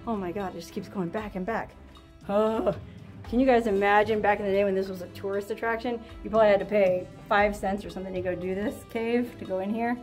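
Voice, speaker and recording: A young woman vlogging as she explores a narrow cave, chatty and full of excited exclamations, recorded on a handheld camera. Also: mid-range voice, brisk, faint music behind. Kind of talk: casual vlog narration.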